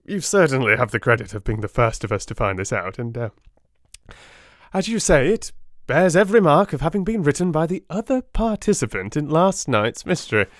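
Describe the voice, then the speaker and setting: A man voicing a brilliant gentleman detective in a dramatised audiobook, with a probing, smoothly polite and amused manner. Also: fairly high pitch, big pitch swings, fairly quick, no echo, clean studio sound, pitched above the performer's own voice. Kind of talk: dramatic audiobook character acting.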